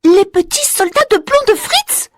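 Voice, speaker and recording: A woman voicing a curious, anxious young girl in a storybook reading, with a high, wide-eyed, excited delivery. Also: a little slow, no room sound, clean studio sound.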